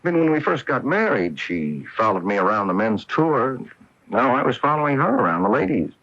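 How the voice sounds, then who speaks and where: Husky, bitter golfer husband. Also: male voice, mid-range voice, big pitch swings, brisk, fairly bright tone. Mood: rueful, candid. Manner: hesitant, rueful, self-deprecating. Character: bitter, defensive former pro golfer husband with a guilty secret. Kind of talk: radio drama acting. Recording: muffled old AM radio broadcast.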